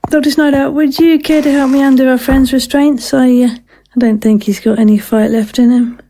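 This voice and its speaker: A woman playing a callous, sardonic scientist in an audio drama, with halting, mocking asides. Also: sits high, fairly even intonation, mellow, slight background noise. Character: callous, driven female scientist, sardonic and ruthless.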